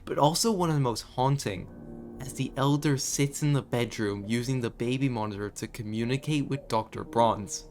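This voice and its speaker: A man's voice: mid-range voice, moderate pace, a little mellow. Guy narrating a horror recap, dramatic and emphatic, music in the background. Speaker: video commentator. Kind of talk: scripted commentary on a horror series.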